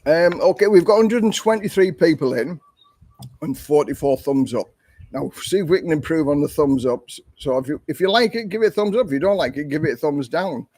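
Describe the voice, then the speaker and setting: An older man, hosting a casual live stream and answering viewer questions in a rambling, chatty way, with a voice he calls monotone. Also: on the high side, brisk, no room sound. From England. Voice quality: self-described monotone voice. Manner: rambling, chatty, with frequent ums and restarts. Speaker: livestream host.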